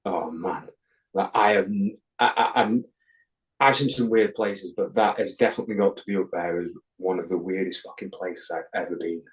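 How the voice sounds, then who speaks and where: Guest, English male, lowish register; rambling anecdote teller, heavy swearing, lots of restarts. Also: normal speed, rough recording. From England. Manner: rambling, swears constantly, many ums and restarts. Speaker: podcast guest. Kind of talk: casual chat, telling an anecdote.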